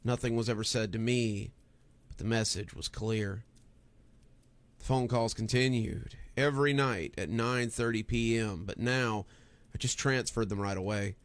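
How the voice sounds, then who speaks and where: A man's voice: fairly low pitch, measured pace, no room sound. A man reading a scripted first-person horror story as its narrator.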